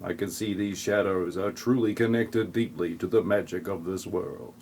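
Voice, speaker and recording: A man's voice: deep voice, normal speed, darkish tone, slight background noise. A man narrating a scripted comic audio play in a mock-dramatic, tongue-in-cheek way.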